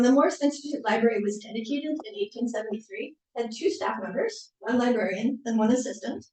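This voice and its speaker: Soft-spoken woman, echoey and distant. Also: a little high. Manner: soft-spoken, with frequent fillers. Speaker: library director. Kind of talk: community presentation. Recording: echoey room, far from mic.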